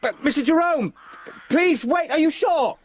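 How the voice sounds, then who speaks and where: An actor playing an earnest, well-bred young solicitor in a radio drama, courteous and eager to help. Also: male voice, very high-pitched, unhurried, dry recording. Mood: concerned, urgent. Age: young adult.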